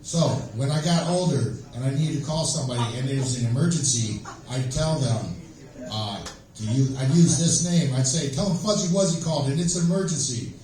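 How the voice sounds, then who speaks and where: A man doing an amateur stand-up set on stage, telling jokes in a halting, rambling way. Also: medium pitch, warm tone. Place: small live comedy venue, stage. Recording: echoey room, distant microphone.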